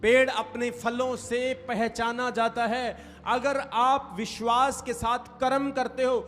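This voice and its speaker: A man's voice: very high-pitched, level tone, slow pace, fairly bright tone. A man preaching a sermon to a congregation, stressing and stretching key phrases and calling for responses. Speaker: pastor. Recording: reverberant hall with faint music. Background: faint music.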